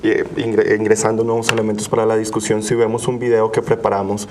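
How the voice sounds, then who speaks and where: A man with a Mexican accent hosting and moderating a panel discussion, measured and thinking aloud as he frames questions. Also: lowish voice, completely flat delivery. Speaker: talk show host and moderator. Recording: faint background noise with room ambience.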